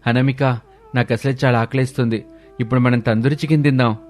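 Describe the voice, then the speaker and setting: A man's voice: medium pitch, fairly even intonation, fast talker, a little mellow, natural voice. A man voicing a busy, affectionate husband and father in a cartoon family story. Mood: eager. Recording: music bed under voice.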